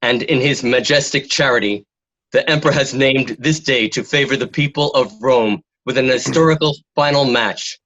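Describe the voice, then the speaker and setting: A man's voice: medium pitch, moderate pace, fairly bright tone, lightly acted. A man playing a formal, grandiose Roman arena announcer in a radio play. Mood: grand, ceremonial. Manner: formal, ceremonial. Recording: slightly dull, lo-fi home microphone.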